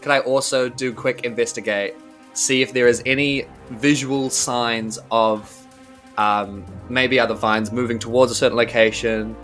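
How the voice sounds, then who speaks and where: A man's voice: mid-range voice, steady tone, moderate pace, fairly bright tone, soft background music. A man with a New Zealand accent playing a tabletop game, thinking aloud, trailing off and restarting, often laughing. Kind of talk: casual in-character play.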